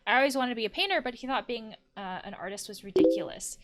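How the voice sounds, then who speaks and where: A woman voicing a bitter, brooding man who confides as a therapy patient in a visual novel, read in an earnest, measured way. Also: fairly high pitch, expressive, close to an everyday voice. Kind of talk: reading visual novel dialogue aloud.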